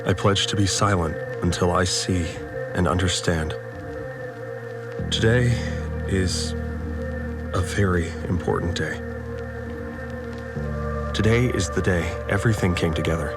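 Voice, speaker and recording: A low-voiced farmer confides in an audio diary for a science fiction drama. He is troubled, devout and obedient, halting and repeating words as he confesses. Music plays clearly beneath him. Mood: solemn, devout.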